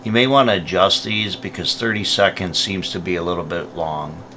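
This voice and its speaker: Low, bright-voiced man giving instructions. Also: moderate pace, slight background noise. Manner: plain, methodical explaining. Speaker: product tutorial presenter. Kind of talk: step-by-step instructional narration.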